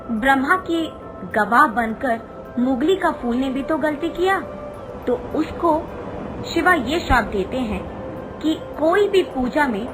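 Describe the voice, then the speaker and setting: A woman reading a scripted devotional moral story as a storyteller, in deliberate, short phrases. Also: on the high side, poor audio. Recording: music behind the narration. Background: background music.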